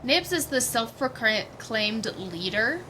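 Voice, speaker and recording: A woman's voice: a little high, sing-song, slow, bright, forceful tone. A woman chatting casually as a player in a tabletop roleplaying game, hesitant and thinking aloud. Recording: clear background noise.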